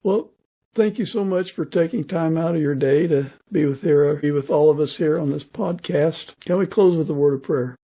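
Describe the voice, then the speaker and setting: A man hosting a podcast in an informal, halting and humble way, in conversation or prayer. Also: fairly high pitch, mellow, breathy edge. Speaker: podcast host.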